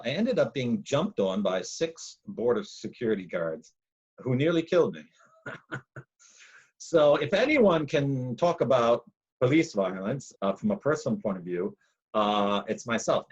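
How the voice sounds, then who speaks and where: A man, a monk hosting a casual talk, telling a long personal story in a loud, emphatic, rambling way. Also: mid-range voice, muffled recording. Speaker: podcast host, monk.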